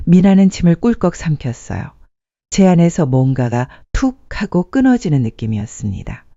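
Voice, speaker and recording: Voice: medium pitch, big pitch swings, normal speed, warm tone, no echo. A narrator reading a scripted dramatic story as a storyteller. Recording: muffled dry studio sound.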